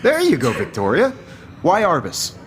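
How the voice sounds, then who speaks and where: A man's voice: a little high, wildly swooping pitch, moderate pace, slight background noise. A man playing a hip, self-assured photography teacher in a video game, lecturing in a glib, theatrical way. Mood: engaging.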